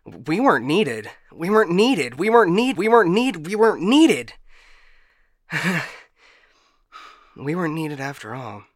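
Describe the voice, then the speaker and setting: A man's voice: mid-range voice, moderate pace, bright, forceful tone, dry recording, studio quality, close to an everyday voice. A man playing a broken, paranoid ship AI in a science fiction audio drama, stammering and getting stuck repeating words. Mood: manic. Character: frightened, broken male ship AI, paranoid and unstable.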